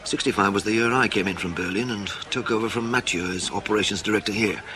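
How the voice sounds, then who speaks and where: An actor playing a blunt, wry British intelligence chief in a radio spy drama, clipped and matter-of-fact, with colloquial phrasing. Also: male voice, fairly low pitch, quick pace, crowd noise, close to an everyday voice. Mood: dry, wry.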